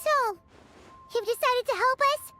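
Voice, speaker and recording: A woman playing a tiny, excitable, childlike fairy companion in a video game, with a squeaky voice and eager manner. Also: a little slow. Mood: curious. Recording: loud game music behind.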